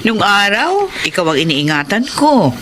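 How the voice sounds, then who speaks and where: A woman's voice: mid-range voice, huge pitch swings, normal speed. A woman with a Filipino accent playing an affectionate, aging mother of a grown son in a TV drama. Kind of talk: TV drama acting. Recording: noisy location sound.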